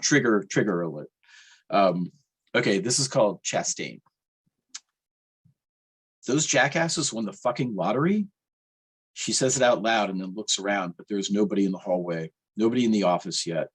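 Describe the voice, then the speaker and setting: Low-voiced man reading fiction, slightly husky. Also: a little fast. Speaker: author reading own novel. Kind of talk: audiobook-style reading of literary fiction.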